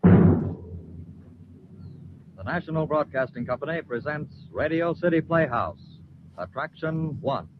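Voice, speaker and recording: Dull vintage recording, lively male radio announcer. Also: a little high, slow, long pauses, heavy background noise. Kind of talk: formal 1940s radio announcing. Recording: dull old radio broadcast, music behind. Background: music.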